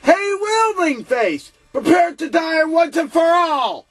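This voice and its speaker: Guy doing a bossy cartoonish sergeant, really high pitched, barking orders in a homemade comedy film. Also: big pitch swings, slow, fairly bright tone, faint music behind, exaggerated voice. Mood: menacing. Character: bossy military sergeant commanding a comic squad. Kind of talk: hobby comedy short film acting.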